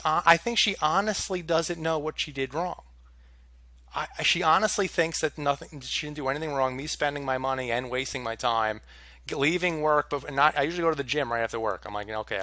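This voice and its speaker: A young man vents to the camera about something that went wrong, sounding exasperated and swearing freely. His voice is bright and pushes forward. The sound is dry, with no room echo. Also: fairly high pitch. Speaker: vlogger. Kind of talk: casual storytelling rant to camera.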